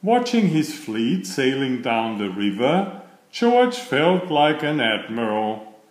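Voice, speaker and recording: Male storybook narrator, unhurried pace, animated intonation, children's read-aloud style, roomy echo. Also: medium pitch. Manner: deliberate, expressive storytelling.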